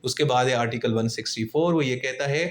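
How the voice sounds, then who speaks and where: A man's voice: medium pitch, brisk. A man with a Pakistani accent lecturing on law in an informal, conversational way, explaining through everyday examples.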